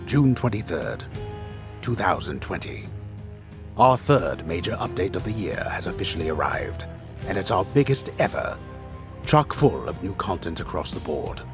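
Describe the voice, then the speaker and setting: A deep-voiced game storyteller, solemn, measured, theatrical, scripted dramatic reading, music behind. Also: male voice, very animated. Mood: solemn, grand. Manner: deliberate, theatrical, solemn announcer delivery. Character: disembodied storyteller, grave, measured, solemn male narrator. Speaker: storyteller narrator.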